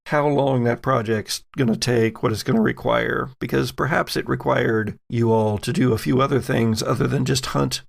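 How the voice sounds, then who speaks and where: A man with a mid-pitched, creaky voice runs a tabletop game as its master. He narrates with theatrical storytelling phrasing and talks the players through the rules. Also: steady tone, normal speed, few pauses.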